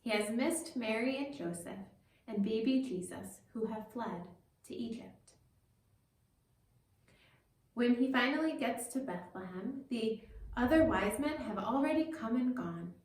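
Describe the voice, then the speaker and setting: A woman's voice: a little high, expressive, measured pace. A woman pastor telling a story to children, explaining things simply and addressing them directly, recorded with a roomy echo from a distant microphone.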